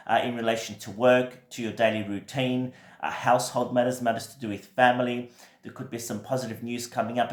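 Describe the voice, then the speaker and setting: Croaky man, tarot reader, reassuring and gentle, mid-pitched voice, echoey room. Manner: gentle, reassuring, frequent fillers and "right?". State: croaky from a cold. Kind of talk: weekly tarot reading to camera.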